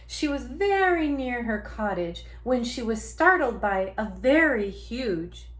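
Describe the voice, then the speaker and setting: A woman storyteller reads aloud to young children at an unhurried pace. Her pitch swings widely, with dramatic emphasis and an inviting, interactive manner. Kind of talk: expressive picture-book read-aloud.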